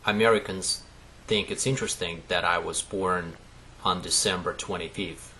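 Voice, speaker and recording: A man's voice: low-pitched, steady tone, normal speed, pushed and bright, a little hiss or hum. A man from Russia with a Russian accent, a native Russian speaker, making plain, simple statements. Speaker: example speaker in a lesson.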